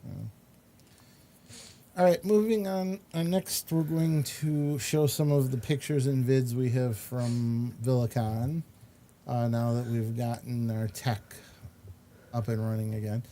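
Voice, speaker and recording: A man hosting a talk show in casual chat, with drawn-out, emphatic repetition. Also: mid-range voice, fairly even intonation, warm tone. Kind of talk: casual chat, commenting on pictures. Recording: clear background noise.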